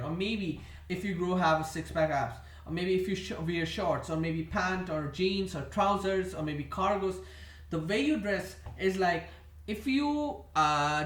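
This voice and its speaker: A man's voice: fairly high pitch, echoey room, distant mic. A man with an Indian accent, whose first languages are Indian ones, rambling through a monologue to camera, often stopping to restart and correct himself. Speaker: vlogger.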